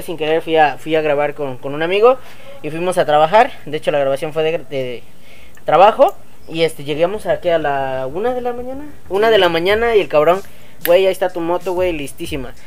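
A man in his thirties with a Mexican accent, a motovlog host chatting casually and talking straight to his viewers in an outdoor workshop yard. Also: a little high. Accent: Mexican Spanish. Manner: chatty, slangy, addresses viewers directly. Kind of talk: casual vlog chat.

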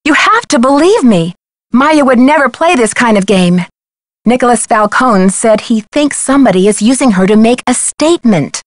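Game heroine: young American female detective played by a woman, fairly high-pitched, determined, articulate, dryly recorded. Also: animated, moderate pace, no room sound, lightly acted. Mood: urgent, earnest. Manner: insistent, polite, clearly spoken. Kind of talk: video game voice acting.

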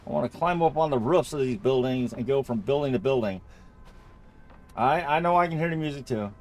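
Creaky-voiced man playing a video game. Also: mid-range voice, lively intonation, a little fast, darkish tone. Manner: thinks aloud, often repeating phrases. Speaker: gamer narrating own playthrough. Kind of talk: casual let's-play commentary.

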